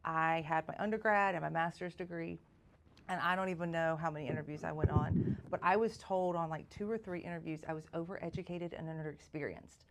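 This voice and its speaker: A woman talks in a relaxed, chatty way. Her voice sits somewhat low, and she tells her story with a dry, self-mocking touch. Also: fairly quick, creaky, slight background noise. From South Georgia, US. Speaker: chamber of commerce president, guest. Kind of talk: casual podcast conversation.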